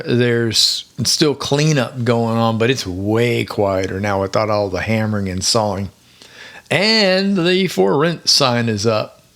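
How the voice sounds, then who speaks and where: A retired man in his sixties hosting his own podcast, hoarse from singing, chatting in a rambling, easygoing storyteller manner. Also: medium pitch, fairly bright tone. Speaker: podcast host. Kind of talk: casual solo podcast monologue.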